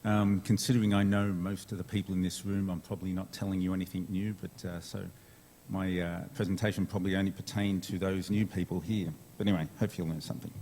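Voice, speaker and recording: A man from Sydney with an Australian accent, giving a relaxed conference presentation full of fillers. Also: sits low, fast talker, a little hiss or hum. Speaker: conference speaker.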